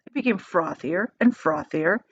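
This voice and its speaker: A woman reading a children's book aloud as an expressive storyteller. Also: fairly low pitch, moderate pace, slightly rough, muffled recording.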